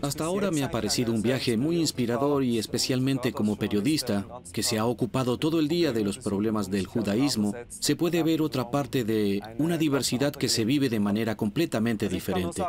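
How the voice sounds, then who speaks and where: A man's voice: medium pitch, lively intonation, fairly quick, hardly any pauses, crowd noise, lightly acted. A man voicing a journalist's travel reflections for a documentary dub, considered and articulate. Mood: thoughtful.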